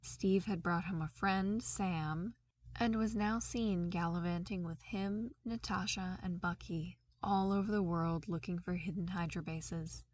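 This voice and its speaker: A woman reading fan fiction aloud as narrator, with expressive, lively storytelling. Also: lowish voice, unhurried, no echo. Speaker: podfic reader.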